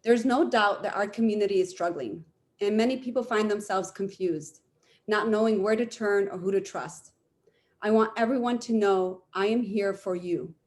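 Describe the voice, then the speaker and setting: A woman running for mayor, giving a formal, prepared closing statement in an earnest, measured way with emphatic repetition. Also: mid-range voice, darkish tone. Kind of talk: prepared campaign speech.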